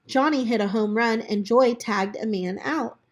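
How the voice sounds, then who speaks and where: A woman reading a children's book aloud in an expressive way, as a storyteller talking to children. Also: a little high, unhurried. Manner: animated character voices for dialogue, chatty asides to children.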